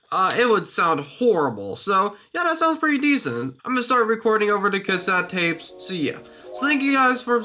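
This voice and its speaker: A man's voice: high-pitched, sharp tone. A man talking casually and hesitantly in a rambling, informal vlog while demonstrating something. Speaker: video creator.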